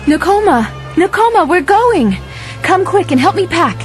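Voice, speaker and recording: A woman voicing a brave, determined young chief's daughter in a children's read-along story, with earnest, expressive delivery. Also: very high voice, normal speed, soft background music. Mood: excited, calling out.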